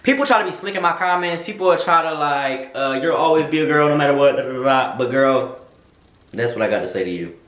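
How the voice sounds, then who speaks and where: A man's voice: on the high side, expressive, brisk, fairly bright tone, muffled recording. A young man chatting candidly to camera, sassy and slangy, answering viewer questions. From United States. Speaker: vlogger. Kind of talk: casual Q&A chat to camera. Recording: echoey room.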